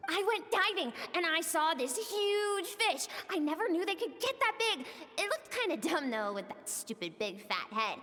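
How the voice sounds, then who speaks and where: A woman's voice: very high voice, very animated, normal speed, hardly any pauses, bright, forceful tone, heavily acted. A woman playing a spirited, curious and stubborn young girl in a video game. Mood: excited. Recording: long reverb, faint noise.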